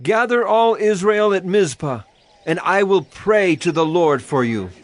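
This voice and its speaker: A man's voice: high voice, expressive, measured pace. A man playing a solemn, commanding Hebrew prophet in an earnest, declamatory dramatized scripture reading. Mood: solemn exhortation.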